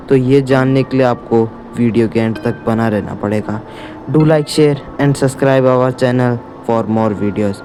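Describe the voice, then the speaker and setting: A man narrating a scripted story as a channel storyteller. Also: medium pitch. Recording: music behind the voice.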